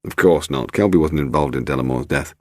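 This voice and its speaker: A man's voice: extremely low pitch, a little fast, a little mellow, no room sound, clean studio sound, voice pushed down. A man voicing a cynical, brusque male investigator with clipped, impatient British speech in a mystery audiobook. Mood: dismissive.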